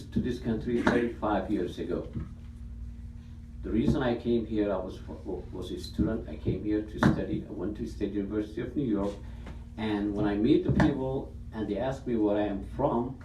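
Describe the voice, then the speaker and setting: A man's voice: lowish voice, distant mic, background noise. Somali-accented man in an echoey hall. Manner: plain, halting personal testimony. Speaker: elected official. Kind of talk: public meeting speech. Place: city council meeting room.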